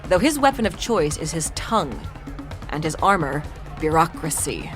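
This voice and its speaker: Woman acting low, pleading captive woman over music. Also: big pitch swings. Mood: weary, grim. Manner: earnest, halting pleading. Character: desperate, meticulous enslaved woman pleading for help. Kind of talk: dramatised fantasy game audio drama. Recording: loud music behind the voice.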